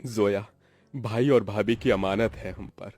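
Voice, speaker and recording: A man's voice: fairly low pitch, steady tone, unhurried, darkish tone, dry recording. A man voicing a poor, devoted uncle in a cartoon story, earnest and pious while hiding his sorrows. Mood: earnest, burdened. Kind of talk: Hindi cartoon story dialogue.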